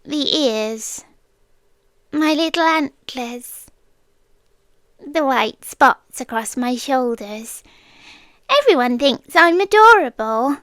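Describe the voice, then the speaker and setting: Slow, high-voiced British deer-spirit girl acted by a woman. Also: no echo, heavily acted. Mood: sweetly teasing. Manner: coy, teasing, breathy whispers mixed with soft speech. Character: girlish deer-spirit forest monster, sweetly menacing, possessive. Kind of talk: ASMR roleplay. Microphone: close microphone.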